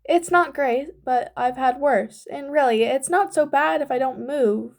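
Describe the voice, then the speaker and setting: A woman's voice: high voice, takes it slowly, dark tone, voice pushed up. A woman voicing a frightened, shy teenage boy who speaks in a quavering, tearful way.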